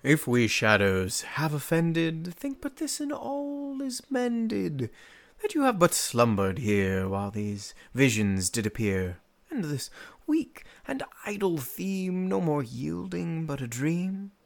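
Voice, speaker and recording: A man's voice: a little high, sing-song, creaky, heavily acted. A man playing a mischievous fairy sprite, eerie and coaxing, in theatrical Shakespearean verse for a radio play. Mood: coaxing, confiding. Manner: theatrical, deliberate, dramatic swings.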